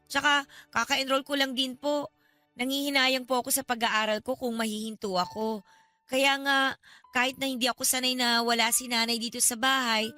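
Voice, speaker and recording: A woman's voice: fairly high pitch, sharp tone. A woman acting a hurt young student daughter, earnest and emotional, in radio drama style.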